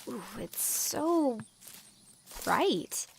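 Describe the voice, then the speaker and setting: A woman's voice: high voice, big pitch swings, drawn-out words, gravelly, slight background noise, full character voice. A young woman acting a plucky, sardonic exile in her twenties in an audio drama. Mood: weary muttering.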